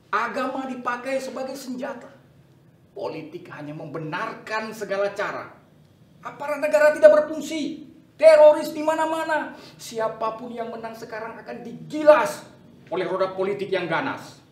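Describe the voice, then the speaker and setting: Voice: mid-range voice, close to an everyday voice. Casting: authoritarian scheming general, acted in a dramatic reading, emphatic oratory with dramatic swings, heard off-mic in an echoey room. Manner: declamatory, emphatic, commanding. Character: bombastic, authoritarian general scheming amid political chaos. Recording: echoey room, distant microphone.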